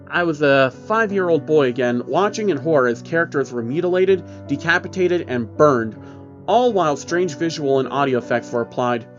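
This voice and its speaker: Male horror narrator, slightly muffled, over music. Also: medium pitch. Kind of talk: scripted first-person horror story narration. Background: background music.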